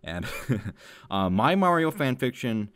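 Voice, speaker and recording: A man's voice: medium pitch, sing-song, slow, fairly bright tone, clean tone, no room sound. A man chatting casually as a podcast host and reading aloud, explaining things in a hedging, self-deprecating way. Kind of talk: casual chat and reading old fan fiction aloud.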